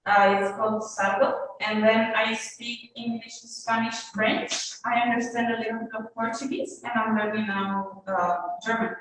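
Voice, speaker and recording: A woman's voice: on the high side, level tone, normal speed, hardly any pauses, fairly bright tone. Young woman in an echoey room. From Italy. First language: Italian. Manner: thoughtful, frequent fillers. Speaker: international student. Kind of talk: answering questions at an online colloquium. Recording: echoey room, far from mic, faint noise.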